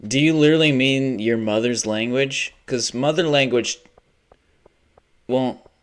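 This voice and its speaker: A man's voice: medium pitch, measured pace. A young man livestreaming a video game, rambling casually, swearing often and laughing at his own jokes. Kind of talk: casual live gaming commentary.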